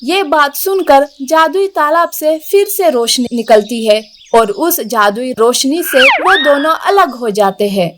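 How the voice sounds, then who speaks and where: High-pitched, slow female storyteller. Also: lively intonation, slight background noise. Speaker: narrator. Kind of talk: scripted children's story narration.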